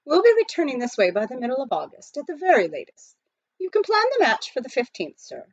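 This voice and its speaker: A woman voicing a composed, courteous and quietly confident butler in a children's storybook, with formal, polite phrasing. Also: high voice, wildly swooping pitch, fast talker, no room sound, dull audio, pitched above the performer's own voice, heavily acted. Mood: assured.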